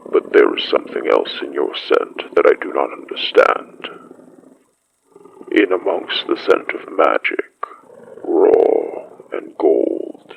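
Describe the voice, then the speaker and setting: An actor playing an ancient, solemn and menacing spirit beast with a breathy, growling voice and slow, archaic phrasing in an audio drama. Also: rumbling low, lo-fi sound, slight background noise, full character voice.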